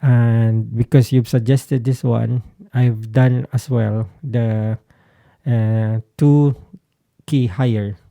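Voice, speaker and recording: Guy chatting casually about guitar stuff, slow n hesitant, creaky voice, dry sound. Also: medium pitch, steady tone, mellow, no room sound. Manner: hesitant, searching for words. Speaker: guitarist vlogger. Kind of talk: casual explanatory talk to camera.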